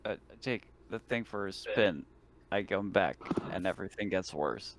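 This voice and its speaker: A man's voice: low-pitched, normal speed, noisy background. A man bantering in character at a tabletop game, incredulous and casual. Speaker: tabletop roleplaying player.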